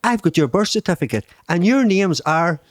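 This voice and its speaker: A man's voice: high-pitched, lively intonation, normal speed, no echo, studio quality. A middle-aged man with a Northern Irish accent, hosting a casual chat, talking with lots of fillers and laughs. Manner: chatty, laughing, many fillers. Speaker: podcast host.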